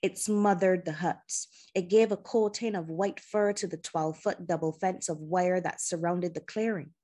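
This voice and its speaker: A woman narrating a children's novel in audiobook style, with measured, deliberate phrasing and pauses. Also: on the low side, steady tone, mellow.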